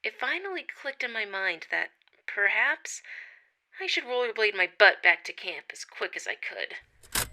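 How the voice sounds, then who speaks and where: A woman reading a first-person account of a strange encounter, dropping to hushed tones at tense moments. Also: mid-range voice, very animated, measured pace. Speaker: witness reading her account.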